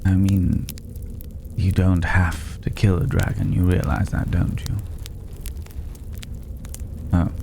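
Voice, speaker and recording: Man playing a very deep-voiced, languid mysterious stranger. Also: heavily acted. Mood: sly, sardonic. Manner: languid, teasing, condescending, with drawn-out pauses. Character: mysterious male stranger dwelling in a dragon's hoard, mocking, worldly. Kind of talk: ASMR fantasy roleplay audio drama. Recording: clearly noisy ambient background.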